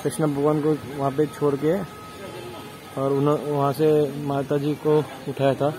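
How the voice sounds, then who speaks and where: A man's voice: fairly high pitch, monotone, moderate pace, over music. A man vlogging a walking tour outdoors at a marble temple, explaining the wall paintings in a plain, casual way. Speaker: travel vlogger. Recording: noisy outdoor phone recording.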